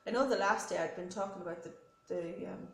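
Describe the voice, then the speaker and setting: A woman with a stuffy head cold chats through her knitting news in a friendly, meandering way, often pausing on "um" and correcting herself. Her voice sits a little low and sounds roomy and echoey, with a faint hiss behind it. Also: gravelly. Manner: friendly, rambling, with frequent ums and self-corrections. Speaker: knitting podcast host. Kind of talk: casual chatty show-and-tell. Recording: roomy echo with faint noise.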